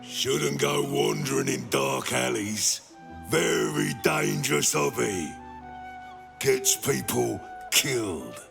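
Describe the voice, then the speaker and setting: An actor playing a gruff, surly male guild guard who talks in curt, menacing lines. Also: mid-range voice, wildly swooping pitch, slow, full character voice. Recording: game audio with music behind.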